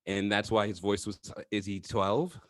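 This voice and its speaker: A man's voice: fairly low pitch, level tone, moderate pace, no echo, studio quality. A man co-hosting a podcast, joking around and laughing a lot in casual chat. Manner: jokey, laughs often. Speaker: podcast co-host.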